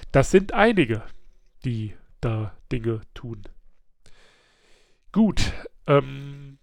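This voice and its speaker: A man hosting a casual book club podcast, a little stuffy from a slight cold, chatty and quick to laugh, with many filler sounds. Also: mid-range voice, very animated, creaky, a little mellow, no room sound, studio quality. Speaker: podcast host.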